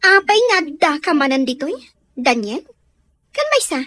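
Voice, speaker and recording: A woman's voice: high-pitched, big pitch swings, measured pace, sharp tone, heavily acted. A woman playing a troubled, defiant teenage daughter in an Ilocano audio drama, with a Filipino accent. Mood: irritated.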